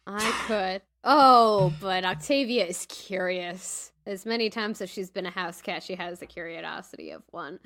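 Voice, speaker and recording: A woman's voice: mid-range voice, unhurried, hardly any pauses, a bit bright. Casual woman playing a tabletop game. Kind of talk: casual chat during a game. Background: faint game music.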